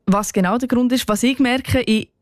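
A woman's voice: on the high side, steady tone, moderate pace, darkish tone, no room sound, studio quality. A young woman with a Swiss German accent, a singer-songwriter, who answers interview questions thoughtfully and with hesitant fillers. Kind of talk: radio interview.

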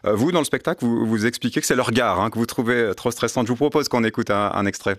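A man hosting a radio interview, asking brisk questions with frequent hesitations. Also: medium pitch, no echo. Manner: brisk questions with many euh and restarts. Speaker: radio host. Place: radio studio.

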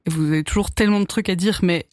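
A woman's voice: fairly low pitch, very fast, darkish tone, dry recording, studio quality. A woman hosting a radio interview, asking friendly, lightly playful questions. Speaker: radio host. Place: radio studio.

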